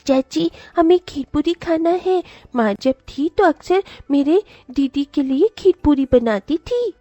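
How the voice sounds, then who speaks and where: A small, clinging child in a storybook drama, has a very high, lilting voice. The delivery is plaintive and childlike, recorded dry with slightly dull sound. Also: female voice, lively intonation, a little slow, mellow, no room sound, soft background music. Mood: affectionate. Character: frightened, pleading small child, clinging and affectionate. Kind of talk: dramatised moral story voice acting.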